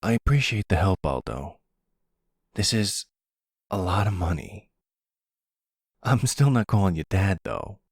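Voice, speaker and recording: A man playing a troubled young adult son in a roleplay audio drama, casual and defensive, with a husky voice and raw feeling. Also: deep voice, lively intonation, a little slow, pauses often, no room sound, studio quality, pitched above the performer's own voice, heavily acted. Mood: grudging gratitude.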